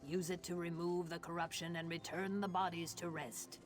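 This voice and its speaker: A man's voice: a little high, level tone, close to an everyday voice. Man voicing a hissing, solemn lizard-folk mage over music. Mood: stern, urgent. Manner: solemn, deliberate, formal. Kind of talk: fantasy video game voice acting. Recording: game audio with music behind.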